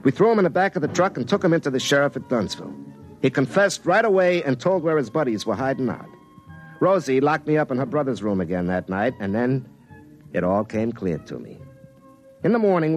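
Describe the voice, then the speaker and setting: A man's voice: medium pitch, very animated, fairly quick, faint music behind, natural voice. An actor playing a cocky, folksy small-town telephone lineman in a 1950s radio drama, speaking in a wry, colloquial way. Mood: wry storytelling. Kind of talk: 1950s radio drama acting with first-person narration. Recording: vintage 1950s radio recording.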